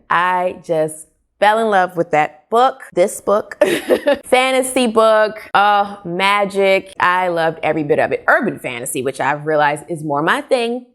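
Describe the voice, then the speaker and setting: A woman with a slightly low voice hosts a casual book vlog. She talks in an expressive, conversational manner, putting emphasis on her opinions as she reviews. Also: unhurried. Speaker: book vlogger, host. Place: small furnished living room, indoors.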